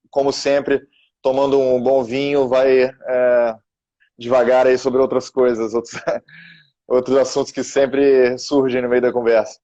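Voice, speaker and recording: A man's voice: mid-range voice, mellow, dull audio. A man hosting a casual interview, chatty and hesitant with filler words. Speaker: podcast host.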